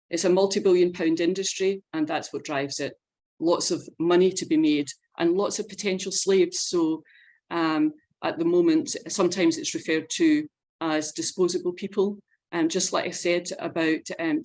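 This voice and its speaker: A woman leading an informational webinar, explaining facts and figures in an earnest, measured way. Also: low-pitched, darkish tone. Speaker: presenter leading an online info session.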